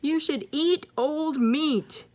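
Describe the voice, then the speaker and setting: A woman's voice: high-pitched, very slow, darkish tone, voice pushed up. A woman voicing cheerful, nosy jungle animals in a storybook, coaxing in an eager singsong way.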